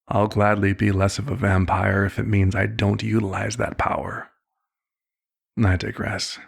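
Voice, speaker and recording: A man playing a concerned, paternal vampire doctor in an ASMR roleplay, speaking formally and deliberately in a husky voice. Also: lowish voice, flat delivery, exaggerated voice. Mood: dry, thoughtful.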